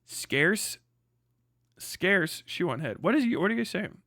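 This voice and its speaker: A man's voice: sits high, big pitch swings, unhurried, sharp tone, no echo, studio quality. Profane young man rambling on a livestream. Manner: rambling and profane, with frequent restarts and laughter. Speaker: livestream host. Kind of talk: casual livestream commentary reading chat.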